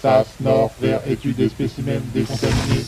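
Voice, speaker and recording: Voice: medium pitch, little pitch movement, moderate pace, natural voice. A man playing the computer of a space base, giving formal, impassive status announcements. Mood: impassive alert. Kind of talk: formulaic system announcements. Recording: music bed behind the voice.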